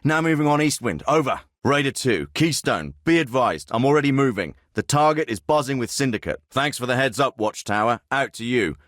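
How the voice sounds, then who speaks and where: An actor playing a gruff, sardonic British special forces team leader in a video game, giving terse, dry military commands. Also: male voice, mid-range voice, expressive, fairly quick, few pauses, a bit bright, no room sound, lightly acted. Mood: terse, focused. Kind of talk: military radio chatter and commands.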